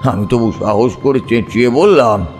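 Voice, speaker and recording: A man narrating a scripted ghost story in a suspenseful, expressive, dramatic manner. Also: medium pitch, moderate pace, soft background music.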